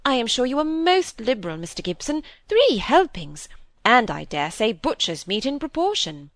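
A woman voicing a bossy, impatient older spinster from a storybook, brisk and exclamatory, often breaking in. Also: medium pitch, big pitch swings, fairly bright tone, dry recording, voice pushed up. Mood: exclaiming.

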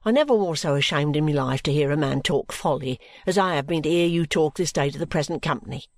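A hearty, sharp-tongued soldier's wife as a woman reader plays her in a storybook. She scolds with fond, emphatic indignation, her pitch swinging widely, in a dry, close recording. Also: very animated, moderate pace, no echo. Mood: indignant scolding. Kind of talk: storybook character dialogue.

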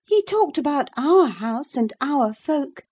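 A woman voicing a spoiled, imperious young girl in an audiobook, petulant and quick to take offence. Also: sits high, very animated, a little mellow, voice pushed up, exaggerated voice. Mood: childish curiosity. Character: haughty, indignant young girl, spoiled and tearful. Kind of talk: audiobook character voice.

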